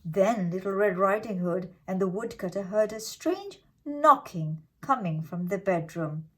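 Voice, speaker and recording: A woman reading a scripted fairytale for children in an expressive, dramatic, deliberate way. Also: mid-range voice. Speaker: children's storyteller.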